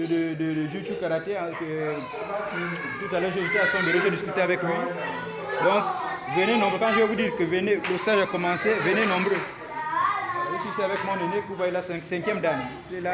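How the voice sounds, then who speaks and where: A man coaching self-defense, speaking casually and haltingly to his followers from a karate dojo and repeating words as he goes. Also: high-pitched. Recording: loud crowd noise behind. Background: crowd of young students.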